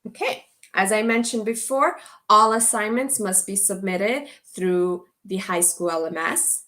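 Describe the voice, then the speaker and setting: A woman teacher from Guatemala, giving a slow, clear orientation to an online English as a course. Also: mid-range voice, pushed and bright. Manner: slow, deliberate delivery for language learners.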